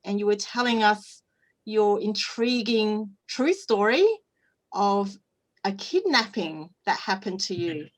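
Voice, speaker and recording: A woman hosting an interview, asking questions in a soft, encouraging manner. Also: medium pitch, lively intonation, slow, poor audio. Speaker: interview host.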